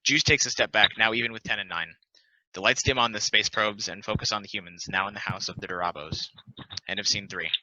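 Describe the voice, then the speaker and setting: A man's voice: lowish voice, brisk, pushed and bright, slight background noise. A man reading stage directions plainly for an amateur table read, on a dull lo-fi recording.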